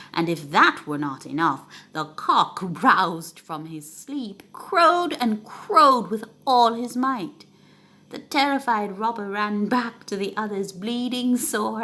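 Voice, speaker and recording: A woman reading a children's story aloud with expressive, dramatic emphasis. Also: fairly high pitch.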